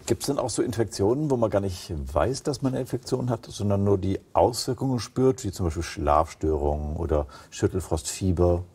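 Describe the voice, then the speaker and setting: A man's voice: fairly low pitch, animated, a little fast, few pauses, mellow, slightly rough. A middle-aged man hosting a studio interview and asking questions. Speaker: TV host, interviewer.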